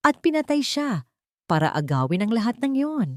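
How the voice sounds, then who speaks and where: A woman voicing a calm, secretive older male doctor in a storybook, speaking in a measured, grave manner. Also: a little high, no echo, studio quality, pitched above the performer's own voice. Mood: solemn, sad. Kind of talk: storybook character dialogue.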